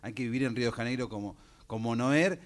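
A man with a Rioplatense Spanish accent hosting a music radio show, chatty and prompting his guest with quick questions. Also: medium pitch. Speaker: radio host. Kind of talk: casual radio interview.

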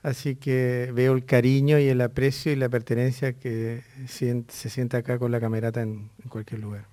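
A middle-aged man from Chile with a Chilean accent, an orchestra director answering radio interview questions in a measured, thoughtful way with frequent pauses. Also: medium pitch, level tone, dark tone, no room sound. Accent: Chilean Spanish.

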